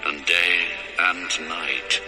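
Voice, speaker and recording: Casting: an ancient, commanding God for audio scripture drama, very low voice, solemn delivery, music underneath. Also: male voice, steady tone. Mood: grave promise. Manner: slow, solemn, measured.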